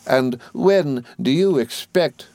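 A man's voice: medium pitch, animated, slow pace, darkish tone, no echo, voice pushed up. A man voicing a domineering, brusque older English aunt in an audiobook, with emphatic, commanding delivery. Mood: brisk. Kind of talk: audiobook character voice.

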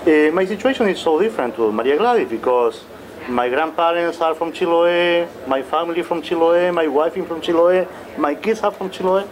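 A man's voice: fairly high pitch, normal speed, low-quality recording. A middle-aged man from Chile, a poet speaking passionately and emphatically as a panelist at a forum. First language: Spanish. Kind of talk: forum discussion.

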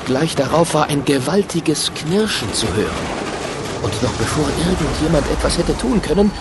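A man's voice: fairly high pitch, very animated, normal speed, heavy background noise. A man narrating a children's radio play in a vivid storytelling manner. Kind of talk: scripted audio drama narration.

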